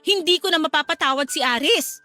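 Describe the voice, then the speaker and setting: A woman's voice: sits high, a little fast, sharp tone. A Filipino woman playing a cold, unforgiving older sister and grieving mother who speaks reproachfully in a radio drama. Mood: stern, resentful. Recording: music under the dialogue.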